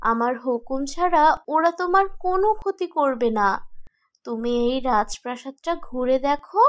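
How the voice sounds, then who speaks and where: A woman playing a gentle, wistful princess ghost in a cartoon, speaking earnestly and solemnly. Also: high-pitched, normal speed, lightly acted. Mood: reassuring. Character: gentle ghost princess, seven hundred years dead, dutiful, wistful. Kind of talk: cartoon voice acting.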